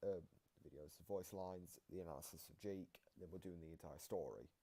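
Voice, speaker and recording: A man's voice: low voice, fairly quick, warm tone, breathy edge. A man hosting a casual gaming analysis video, explaining things in a rambling way. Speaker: video host. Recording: noisy home microphone recording.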